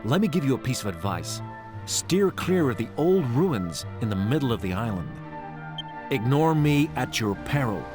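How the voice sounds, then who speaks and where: A man's voice: mid-range voice, very animated, normal speed, lightly acted. An actor playing a serious, formal man with an ominous manner in a video game. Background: game music.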